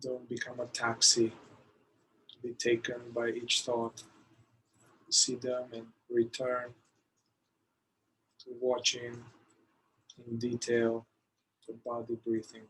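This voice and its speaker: A man leading a guided meditation, giving soothing, unhurried instructions with long pauses between phrases. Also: medium pitch, monotone, bright, forceful tone, breathy edge, poor audio.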